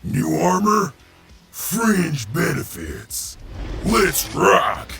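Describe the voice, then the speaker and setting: A man voicing a brash, sardonic robot soldier in an animated series, gravelly and slangy, with loud music underneath. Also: high-pitched, drawn-out words, far from the mic, full character voice. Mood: cocky. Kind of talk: animated-series character acting.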